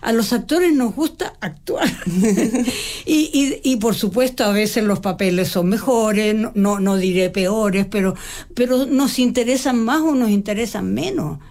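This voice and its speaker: An older woman, a stage actress, answering interview questions in a reflective, halting way with frequent restarts. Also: medium pitch, a little mellow. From Mexico. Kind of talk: conversational interview.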